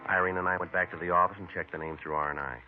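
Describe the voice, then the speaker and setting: An actor playing a terse police detective who narrates a case in a clipped, deadpan, matter-of-fact way, in a 1950s radio crime drama. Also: male voice, deep voice, racing, over music, lightly acted. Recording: tinny vintage radio broadcast.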